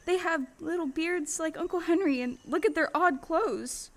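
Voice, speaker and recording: Woman playing an earnest, very high-voiced young farm girl. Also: normal speed. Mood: hushed wonder. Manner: breathless wonder, exclamatory. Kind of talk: stage-style audio play acting.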